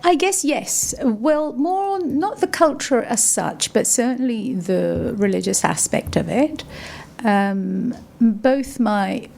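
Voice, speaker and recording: A middle-aged woman from Yemen with a refined London accent, a guest in a radio interview who talks reflectively with many pauses and restarts. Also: fairly high pitch, expressive, vocal fry, a little mellow. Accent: refined London English. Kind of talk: casual interview conversation. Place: radio studio.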